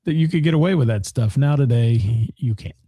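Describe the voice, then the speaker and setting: Radio co-host, hoarse, very dark husky tone, dry studio sound. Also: male voice, mid-range voice, a little fast, no echo. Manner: joking, rambling, with restarts. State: losing his voice. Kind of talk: casual on-air chat, reading listener comments.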